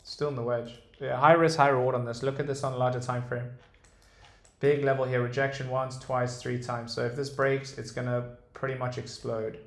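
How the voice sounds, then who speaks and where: Young man, British accent, gravelly, flat delivery, hesitant with fillers, livestream trading chat, roomy echo. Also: medium pitch, moderate pace. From Dubai. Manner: relaxed, hesitant, many ums and restarts. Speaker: day trader streamer. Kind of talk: casual livestream chart commentary, reading chat.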